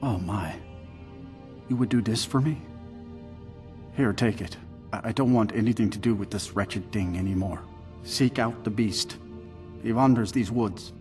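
A man voicing a remorseful, cursed werewolf in a video game, speaking in a halting, brooding, confessional way. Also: lowish voice, a little mellow, faint music behind, lightly acted. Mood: weary, hopeful.

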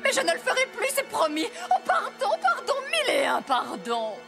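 Jealous witch queen, cartoon villain, mock-sweet and theatrical, high voice over music. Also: huge pitch swings, normal speed, gravelly, heavily acted. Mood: pleading.

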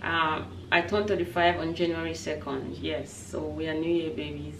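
A woman of thirty-five with a fairly low voice talks casually to camera, answering questions for her vlog. She addresses her viewers informally. The recording is roomy and distant, with some background noise. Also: fairly even intonation, moderate pace. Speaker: family lifestyle vlogger. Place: indoors, small set with wooden backdrop.

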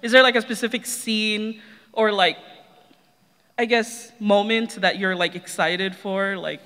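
Man, very high voice, bright tone, reverberant hall, hesitant casual fan question. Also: fairly even intonation, measured pace, a little hiss or hum. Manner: hesitant, frequent "like". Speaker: audience member asking a question. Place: large indoor convention panel room. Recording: echoey hall, long reverb.

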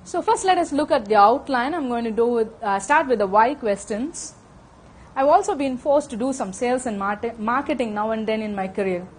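A woman's voice: on the high side, husky. A middle-aged woman with an Indian accent giving a technical lecture to a room of listeners on a handheld microphone, often checking in with "okay?". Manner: fast-talking, frequent "okay?" check-ins. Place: conference room with audience.

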